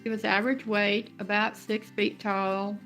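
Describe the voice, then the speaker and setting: Female interviewee, middle-aged, mid-range voice, conversational, soft music bed behind. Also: medium pitch, flat delivery, measured pace. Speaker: interview guest telling her own story.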